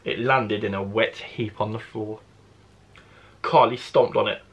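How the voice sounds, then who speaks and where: A man who works as a primary school teacher, reading a story expressively to children with deliberate phrasing. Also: fairly low pitch, steady tone, husky, lo-fi sound.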